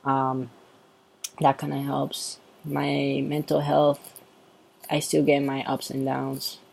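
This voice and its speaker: A man with a soft, androgynous-sounding voice, talking through a casual update vlog in a relaxed, unhurried way. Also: mid-range voice, gravelly, poor audio. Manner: relaxed and unhurried, with frequent ums. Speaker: vlogger.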